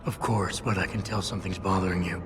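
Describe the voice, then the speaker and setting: A hardened, blunt male security agent from a video game, voiced by a man in a low, terse, gravelly register. He sounds distant in a long reverb, with loud music beneath him. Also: steady tone, fast, close to an everyday voice. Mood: steady. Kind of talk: video game cutscene dialogue.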